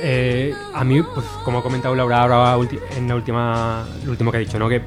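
A man, an activist guest in a radio interview, speaking earnestly with halting restarts. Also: mid-range voice, flat delivery, over music.

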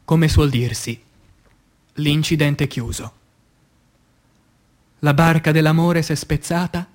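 A man with a slightly high voice recites verse for radio theatre at a very unhurried pace. His delivery is solemn and declamatory, with halting, deliberate pauses between short phrases. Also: darkish tone. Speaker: actor reciting poetry. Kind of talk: slow dramatic poetry recitation for radio theatre.

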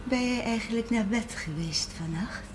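An actress playing a gravely ill, weary and loving mother in a 1970s Dutch television drama, speaking tenderly and with resignation. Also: a little high, moderate pace, close to an everyday voice. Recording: faint noise on old TV audio.

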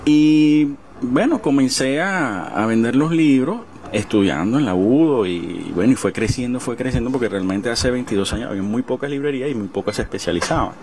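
A man in his forties with a Venezuelan accent, casually recalling things in an interview, halting and repeating words. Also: mid-range voice, expressive, a little mellow. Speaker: bookseller being interviewed.

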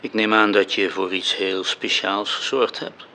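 An actor playing a commanding, dryly demanding otherworldly superior in a radio drama. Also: male voice, lowish voice, fairly even intonation, a little slow, sharp tone, slight background noise. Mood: dryly expectant. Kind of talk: Dutch radio drama acting.

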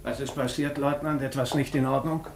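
A man playing a concerned, self-reproaching doctor in a radio drama, speaking in a measured, musing way. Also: medium pitch, fairly even intonation, gravelly, natural voice.

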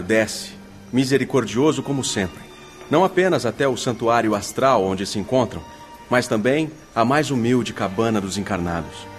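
An actor playing a solemn, devout narrator, reading scripted radio drama in a reverent, measured way. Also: male voice, medium pitch, natural voice. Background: music.

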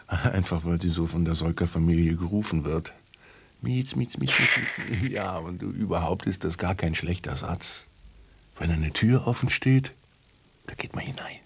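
An actor playing a wry, musing writer who narrates his story in a children's radio play, in a gravelly, husky voice. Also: low-pitched, animated, normal speed, a little hiss or hum. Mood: musing, amused.

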